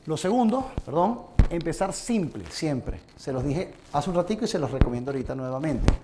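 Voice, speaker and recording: A man's voice: a little high, animated, a little fast. A man from Venezuela presenting a seminar talk to a live audience, speaking earnestly and addressing them directly with advice. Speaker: conference presenter. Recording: noisy room recording.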